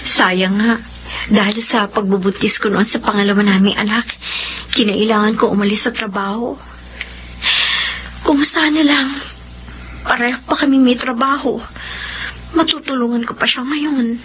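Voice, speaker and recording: A high-pitched young wife and mother acted by a woman with a Filipino accent, loving but easily wounded. It is radio drama acting, recorded with a muffled top end and some background noise. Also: steady tone, normal speed, pauses often, a bit bright, lightly acted. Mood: worried. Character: Venus, troubled young wife and mother, hurt yet loving.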